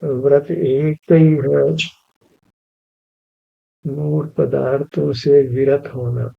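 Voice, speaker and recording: A man leading a guided meditation, giving slow, even spiritual instruction and repeating key phrases. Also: mid-range voice, creaky. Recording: dull lo-fi recording.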